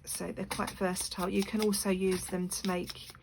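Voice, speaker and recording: A woman with a croaky voice chatting casually to her channel while making craft pieces, rambling with lots of fillers. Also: mid-range voice, little pitch movement, moderate pace. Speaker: crafting video maker. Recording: noisy room with clicks.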